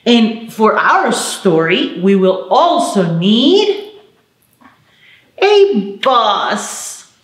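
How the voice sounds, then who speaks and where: A woman's voice: medium pitch, sing-song, drawn-out words. A middle-aged woman presenting a children's storytime, speaking expressively and encouragingly to small children. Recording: roomy indoor echo.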